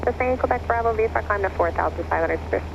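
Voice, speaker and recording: An air traffic controller speaks in clipped standard phraseology at a fast clip. The voice has noticeable vocal fry and comes through a muffled radio channel. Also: mid-range voice. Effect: aircraft radio. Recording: muffled noisy aviation radio with aircraft noise.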